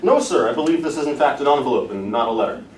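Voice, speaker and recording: A man's voice: mid-range voice, expressive, quick pace, a little mellow, lightly acted. A man playing a meek, over-polite and evasive bomber pilot captain in an amateur comedy scene. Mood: flustered. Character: flustered, deferential, deadpan-absurd male bomber pilot captain. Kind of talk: amateur comedic stage dialogue. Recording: roomy, distant mic, faint noise.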